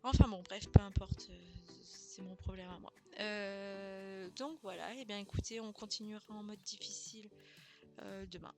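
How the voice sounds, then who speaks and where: A woman's voice: mid-range voice, fairly even intonation, normal speed. A mother streaming a video game, chatting casually with viewers and hesitating often. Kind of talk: casual gameplay stream chat. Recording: game music playing behind.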